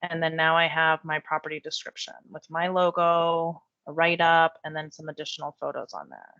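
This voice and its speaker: A woman's voice: deep voice, normal speed, sharp tone, no room sound, lo-fi sound. Woman, losing her voice, chatty step-by-step tutorial, dry close sound. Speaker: real estate software trainer. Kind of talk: screen-share software tutorial.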